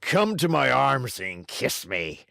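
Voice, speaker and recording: A man voicing a gruff, bearded male puppet-show director with a hoarse, brusque way of talking. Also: medium pitch, very animated, slow pace, sharp tone, no echo, clean studio sound, pitched above the performer's own voice, heavily acted.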